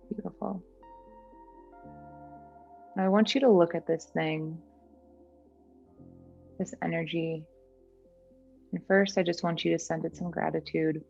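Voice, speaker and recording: A woman guiding a meditation in a gentle, soothing, unhurried manner. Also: fairly low pitch, creaky, lo-fi sound. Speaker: meditation guide. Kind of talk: guided meditation. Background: background music.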